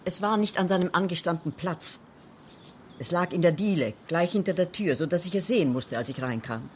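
A woman acting a lonely, frightened woman in a German radio crime play. Her fairly low voice is halting and defensive, pressing her point with anxious insistence. There is slight background noise and ambience. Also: expressive, a little mellow, close to an everyday voice. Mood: insistent, uneasy.

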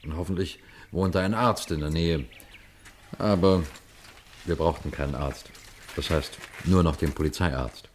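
An actor playing a police detective who tells his case to listeners in a deliberate 1950s radio crime play style. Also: low-pitched, a little hiss or hum. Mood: grave storytelling. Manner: deliberate, rhetorical questions to the listener.

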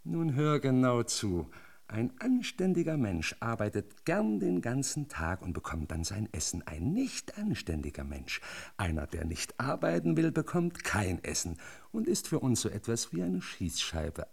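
An actor in a German radio drama playing a menacing, falsely kindly marshal who lectures sardonically, with a husky voice. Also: male voice, lowish voice, big pitch swings, unhurried, full character voice. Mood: threatening, commanding. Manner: mock-paternal, sardonic lecturing with sudden barked commands.

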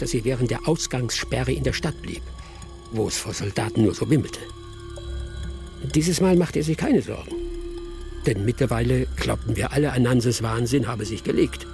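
Gravelly village hunter storyteller. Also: male voice, medium pitch. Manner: measured, grave, oral storytelling. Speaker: narrator. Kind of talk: dramatic first-person radio play narration. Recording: music playing behind the voice.

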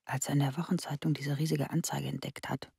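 A woman's voice: low voice, little pitch movement, brisk, gravelly, dark tone, slightly rough, no room sound, clean studio sound, exaggerated voice. A woman acting a restless, observant young daughter in a radio play, speaking in an intimate inner monologue. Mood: reflective. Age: young adult.

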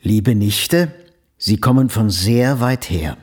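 Husky, formal, stern father. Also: lowish voice, slow pace. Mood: formal, reserved. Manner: formal, grave, cautious. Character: stern, principled older father of a plain family. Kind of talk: period radio drama acting.

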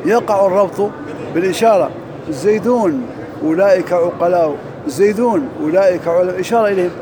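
A man teaching Arabic grammar in a mosque, drilling through examples quickly and reciting verses slowly. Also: sits high, noisy background. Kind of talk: lesson parsing grammar and verse.

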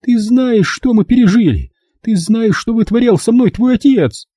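A man's voice: very high voice, expressive, normal speed, warm tone, slightly rough, no room sound, studio quality, pitched above the performer's own voice, exaggerated voice. A man voicing a middle-aged mother in an audiobook, bitter, pleading and insistent, pressing her points with emphatic reproach. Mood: agitated, reproachful. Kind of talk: audiobook character dialogue.

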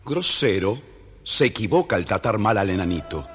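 A man with an Argentine accent narrating a scripted children's story, expressive and theatrical. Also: fairly low pitch, normal speed, long reverb tail, soft background music.

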